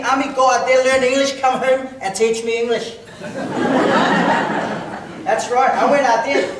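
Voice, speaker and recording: High-voiced man telling a comic story. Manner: telling a comic story to an audience with pauses for laughs. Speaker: speech contestant. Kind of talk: humorous contest speech, storytelling. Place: large hall, public speaking contest. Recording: echoey hall from far off, noisy. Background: audience laughter.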